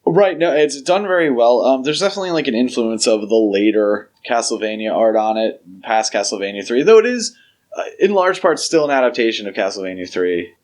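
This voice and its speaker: A man's voice: mid-range voice, animated, brisk, a little mellow. A man co-hosting a podcast, giving his opinions in an informal, conversational review chat. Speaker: podcast co-host.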